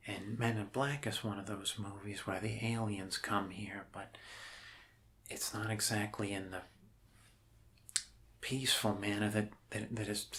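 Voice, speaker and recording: A man in an ASMR role-play playing a friendly doctor who rambles casually and haltingly to reassure an alien patient. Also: lowish voice, little pitch movement, a crowd in the background. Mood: soothing, chatty.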